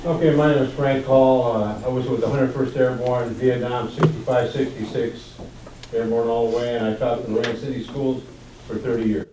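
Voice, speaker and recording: A man's voice: mid-range voice, flat delivery, normal speed. A man over sixty giving a plain introduction of himself. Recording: very echoey room, distant, noisy.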